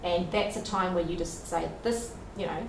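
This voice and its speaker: A woman with a slightly low voice answers a question on a discussion panel, talking earnestly and leaning on conversational fillers. She is picked up from a distance in a roomy lecture hall, with clear background noise. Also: fairly even intonation, moderate pace. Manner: earnest, conversational, many "you know" fillers. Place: lecture room at an evening event.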